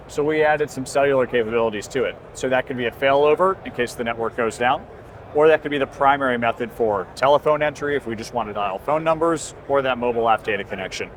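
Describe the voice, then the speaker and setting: A man giving a conversational, explanatory product demonstration as a company presenter at a trade show. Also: medium pitch. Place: busy indoor trade show booth. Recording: constant trade show floor noise. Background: exhibition hall ambience.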